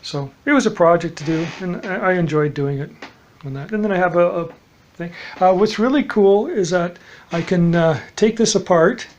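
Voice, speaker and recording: A man with a fairly high-pitched voice talks through a homemade project. His delivery is unscripted and halting, with a matter-of-fact manner and phrases that tend to trail off. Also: darkish tone, highs cut off. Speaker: DIY hobbyist presenter. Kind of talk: casual unscripted project demonstration.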